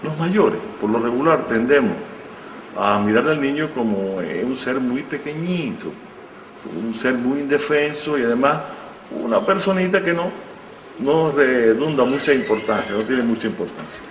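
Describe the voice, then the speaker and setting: A man with a medium-pitched voice explains things in a measured, teacherly interview manner. He is in a reverberant room, with muffled sound and faint noise. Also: expressive. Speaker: radio drama director and acting teacher. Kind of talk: reflective interview talk.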